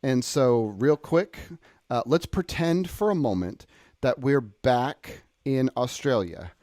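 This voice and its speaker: A man presenting an informal software tutorial, thinking aloud in an unpolished, slightly apologetic way as he explains each step. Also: medium pitch, animated, slow pace, no room sound, studio quality. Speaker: presenter demonstrating audio software.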